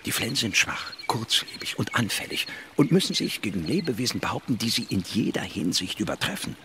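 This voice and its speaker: An actor playing an inquisitive biologist narrating a space expedition in an audio drama, in a measured, explanatory manner. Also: male voice, deep voice, bright, forceful tone, slight background noise, full character voice.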